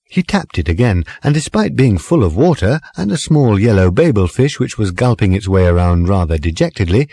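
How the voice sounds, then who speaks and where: A man reading comic fiction as a measured, expressive audiobook narrator. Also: on the low side, dry recording. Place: dry studio.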